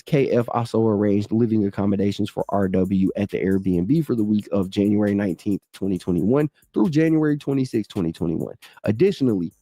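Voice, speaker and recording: A man reads through a court filing in a steady, measured delivery, now and then leaning on a word for emphasis. His voice is mellow and low in brightness. The sound is dry and close, with almost no room echo. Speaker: commentator reading a court document. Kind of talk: reading a legal filing aloud with brief asides.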